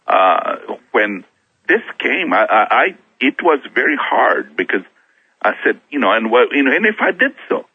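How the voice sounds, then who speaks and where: A middle-aged man from Kenya, a guest on a talk show, telling his own story in a halting way, often restarting his words. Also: medium pitch, very animated, breathy edge, dry recording. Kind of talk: personal testimony in a talk show interview. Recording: thin phone-like sound, dry.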